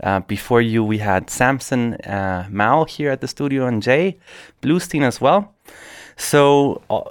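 A man hosting a podcast interview, friendly and a little hesitant, filling pauses with uhs. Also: mid-range voice, smooth. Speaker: podcast host. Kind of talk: interview hosting, switching between Spanish and English.

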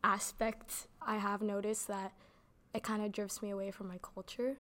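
A woman's voice: mid-range voice, level tone, normal speed, fairly bright tone. A young woman giving casual interview answers in a chatty way, with lots of "like" fillers. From Canada. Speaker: interviewee, actor.

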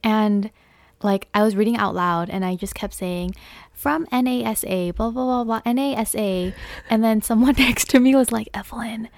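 A young woman co-hosting a casual chat podcast, relaxed and agreeable, laughing easily. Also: a little high, normal speed, dry recording. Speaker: podcast co-host.

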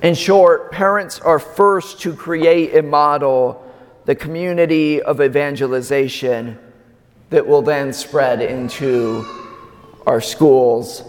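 A man preaching a sermon in a slow, measured way with emphatic pauses, his voice slightly raspy. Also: mid-range voice, steady tone. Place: large church. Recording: long church reverb.

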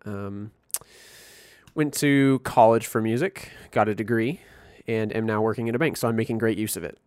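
A man in his twenties from Missouri, co-hosting a podcast and telling stories in a relaxed, chatty way with frequent chuckles. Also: lowish voice, moderate pace, clear, resonant tone, no echo, clean studio sound. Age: 20s. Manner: laid-back storytelling with frequent chuckles. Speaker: podcast co-host. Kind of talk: casual chat.

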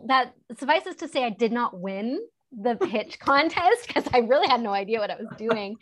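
A woman in her thirties, a guest entrepreneur, telling stories in a casual interview, chatty with many fillers and animated retellings. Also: a little high, moderate pace. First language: English.

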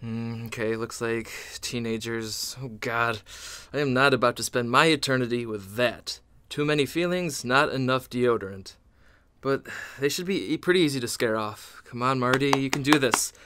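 Bright, sarcastic, exasperated ghost acted by a man. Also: mid-range voice, moderate pace, clean tone, no room sound, natural voice. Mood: grumbling, self-coaching. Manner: grumbling, sardonic, self-coaching, flustered. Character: fussy, insecure male amusement-park ghost, sarcastic and exasperated. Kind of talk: comedic radio theatre monologue.

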